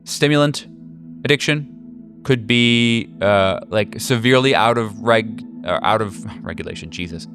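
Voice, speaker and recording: A man running a tabletop horror game as game master, narrating casually in a halting, slangy, jokey way. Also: on the low side, music in the background.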